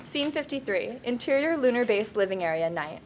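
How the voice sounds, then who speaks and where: A woman's voice: a little high, animated, fairly quick. A woman reading scene headings and stage directions as the narrator at a script table read. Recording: table read with background noise.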